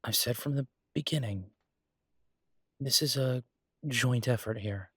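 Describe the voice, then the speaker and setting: A man playing an easygoing, earnest chef boyfriend in an intimate roleplay audio drama, talking casually and haltingly with a husky, reassuring voice. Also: mid-range voice, fairly bright tone, no room sound, clean studio sound. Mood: gently reassuring.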